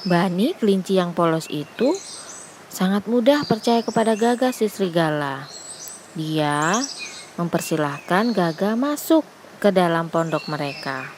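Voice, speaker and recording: A woman's voice: lowish voice, animated, unhurried, background noise. A woman narrating a scripted children's fable as a storyteller.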